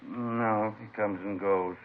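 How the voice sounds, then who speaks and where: An actor playing a mild, reluctant householder answering police in a 1950s radio drama. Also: male voice, on the low side, steady tone, slow pace. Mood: hesitant, puzzled. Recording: noisy, muffled old radio recording.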